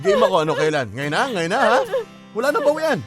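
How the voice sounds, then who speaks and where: A man's voice: high-pitched, big pitch swings, fast talker, fairly bright tone, faint music behind. A young actor playing a worn-out male student boyfriend in a radio drama, blunt and exasperated, at times near tears. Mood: weary, tearful. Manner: blunt and exasperated, turning tearful.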